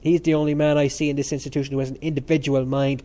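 A man voicing a sly, gossiping young male student who talks in quick, hushed bursts. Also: fairly high pitch, little pitch movement, lightly acted. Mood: earnest.

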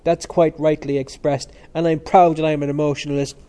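A man voicing a sly, gossiping young male student who talks in quick, hushed bursts. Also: mid-range voice, fairly even intonation, close to an everyday voice. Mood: earnest.

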